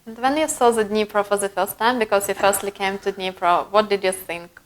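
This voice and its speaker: A woman's voice: mid-range voice, fairly even intonation, brisk, low-quality recording. A woman, hosting an interview and speaking in simple, conversational questions and remarks. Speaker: interviewer, channel host.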